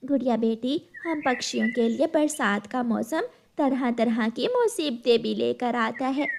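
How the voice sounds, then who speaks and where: A woman voicing a gentle, earnest mother sparrow in a children's cartoon. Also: a little high, expressive, measured pace, vocal fry, slight background noise. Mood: tender, concerned. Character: gentle mother sparrow, caring, earnest, storytelling to her daughter.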